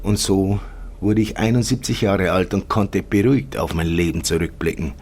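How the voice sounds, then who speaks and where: A male reader acting as a wistful storyteller with a very low, husky voice. He narrates an audiobook-style horror tale in the first person, measured and with deliberate pauses. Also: darkish tone. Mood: wistful, calm. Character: wistful male narrator mourning an imagined life.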